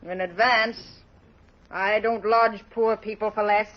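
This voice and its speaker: A woman's voice: medium pitch, steady tone, measured pace, natural voice. A woman acting a harsh, grasping, bullying tavern keeper with a scolding manner in an old radio drama. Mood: grudging, mercenary. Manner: scolding, sharp-tongued. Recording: dull old radio recording.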